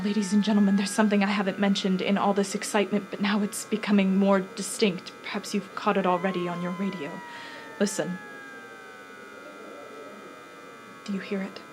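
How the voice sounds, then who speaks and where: A woman playing an excitable eyewitness news reporter in a radio drama, dramatic and halting in her delivery. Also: medium pitch, slight background noise, close to an everyday voice. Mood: tense, observing. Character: frightened, excitable young female radio news reporter at a disaster scene.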